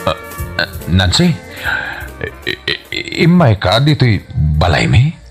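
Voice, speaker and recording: Stammering, flustered Ilocano man, comedy radio drama; husky, wildly varied pitch, music underneath. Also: wildly swooping pitch, slow pace, heavily acted. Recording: music bed behind the voice.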